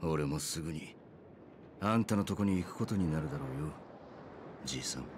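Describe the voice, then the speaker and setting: An actor playing a weary, wry wandering man in a Japanese video game, with a gruff, laid-back and reflective manner. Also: extremely low pitch, expressive, brisk, noisy background. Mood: wistful, resolute.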